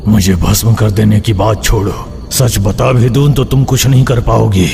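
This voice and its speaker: A man's voice: fairly low pitch, steady tone, normal speed, creaky, over music. A man voicing a defiant severed ghostly hand that serves a demon, taunting and explaining in a horror cartoon. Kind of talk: Hindi horror cartoon dialogue. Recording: long hall reverb, background noise.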